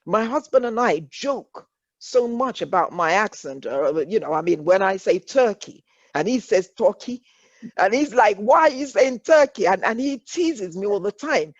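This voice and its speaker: A woman's voice: medium pitch, animated, moderate pace, no room sound. Reassuring English woman on a call. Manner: earnest and reassuring, repeats her points. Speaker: workshop facilitator. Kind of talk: encouraging workshop talk. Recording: dull lo-fi online call audio.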